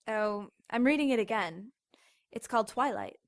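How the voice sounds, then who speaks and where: An actress playing a chatty, casually sarcastic young motel desk clerk in an audio drama. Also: female voice, fairly high pitch, lively intonation, normal speed, lightly acted. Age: young adult. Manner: casual, sarcastic, then terrified.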